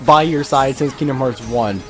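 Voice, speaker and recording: A man's voice: mid-range voice, moderate pace, a bit bright. A young man giving casual, rambling let's play commentary with an exasperated streak. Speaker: gaming video host. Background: game music.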